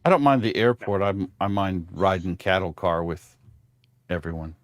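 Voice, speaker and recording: A man from the southern United States chats casually in a low voice. He has a dry, joking manner, recorded close with no room sound. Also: lively intonation, normal speed, no echo. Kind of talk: casual group chat.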